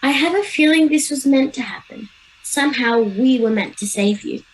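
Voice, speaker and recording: A woman's voice: high voice, moderate pace, close to an everyday voice, rough recording. An Australian girl of ten or eleven playing a decisive, bossy schoolgirl adventurer in an amateur radio play. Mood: urgent. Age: child, 10 to 11. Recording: home video-call recording.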